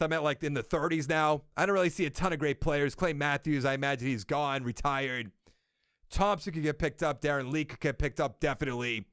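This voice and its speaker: A man's voice: on the high side, a bit bright, dry recording, studio quality. A young man with a Boston accent hosting a casual sports podcast, rambling and thinking aloud as he corrects himself. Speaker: podcast host.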